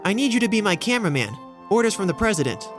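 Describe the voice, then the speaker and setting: Nervous, earnest young reporter. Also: male voice, sits high, very animated, fast talker, a bit bright, soft background music. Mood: flustered. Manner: hesitant, stammering, polite. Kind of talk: audio drama acting.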